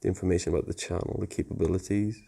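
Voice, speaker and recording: Low-voiced man casually explaining tech. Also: steady tone, racing, gravelly, dark tone, slightly rough. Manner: informal, plain-spoken explaining. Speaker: technical presenter explaining network setup. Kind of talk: casual conversational tutorial.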